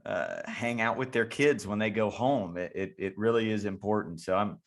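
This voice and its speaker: Low-voiced man hosting a rambling chat. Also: moderate pace. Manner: rambling, frequent restarts and repeated words. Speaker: podcast host and youth baseball coach. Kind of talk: casual interview conversation.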